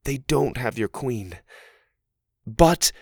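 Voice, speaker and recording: A weak, wounded little heroine of a storybook, voiced by a man. The speech is faltering yet expressive in pitch, recorded close and dry. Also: extremely slow, gravelly, no room sound, full character voice. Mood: weak, insistent.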